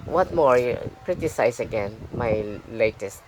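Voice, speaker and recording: A woman's voice: very deep voice, animated, unhurried. A woman from the Philippines with a mixed Filipino English accent, a vlogger asking questions in casual family chat and answering defensively. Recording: noisy home recording.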